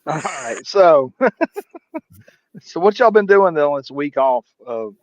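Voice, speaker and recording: A man's voice: on the high side, sing-song, takes it slowly, no room sound. A man hosting a casual livestream chat, joking around and teasing his guests. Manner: joking, laughing, playful teasing. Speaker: host. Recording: dry, dull lo-fi livestream audio.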